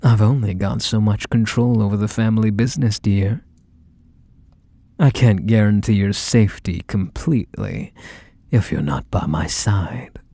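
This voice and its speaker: Low, husky, unhurried mafia boss for ASMR roleplay, male actor: manipulative, obsessive sweetheart talk with quiet menace, close and dry. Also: no room sound. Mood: softly threatening. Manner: coaxing, drawn-out endearments, softly menacing. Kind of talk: ASMR roleplay, intimate monologue to the listener.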